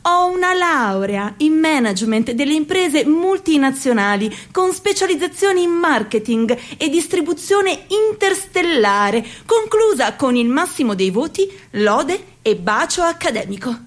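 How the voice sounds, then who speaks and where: A woman's voice: high voice, very animated. An actress in her thirties playing a bitter, ironic young waitress in a theatrical monologue. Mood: sardonic. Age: 30s. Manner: sarcastic, measured delivery. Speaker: actress in a radio theatre piece.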